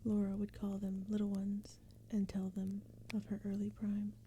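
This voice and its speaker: A woman reading poetry in a gentle, breathy ASMR whisper, unhurried and even, over crackling fire and forest sounds. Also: medium pitch. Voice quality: breathy whisper, mostly unvoiced. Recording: steady background ambience noise.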